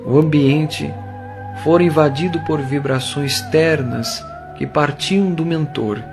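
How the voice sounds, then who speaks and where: A man narrating an audio drama from a script in a measured, literary storytelling manner. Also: a little high. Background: music.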